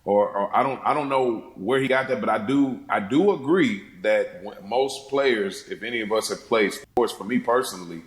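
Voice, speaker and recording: A man's voice: on the low side, moderate pace, hardly any pauses, reverberant, poor audio. A man in a casual film discussion on a podcast, rambling and repeating phrases as he acts out lines. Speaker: podcast panelist.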